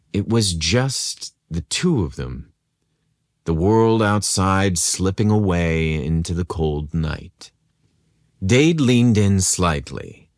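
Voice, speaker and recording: A man narrating a scripted romantic story in an intimate, unhurried way. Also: low voice, no echo, clean studio sound.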